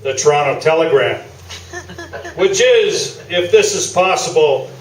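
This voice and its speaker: A man's voice: on the high side, expressive, unhurried. A man playing a pompous, boastful veteran newspaper sports columnist in a comic radio play. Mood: grandiose. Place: small theatre, live performance. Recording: roomy, distant stage recording with noise.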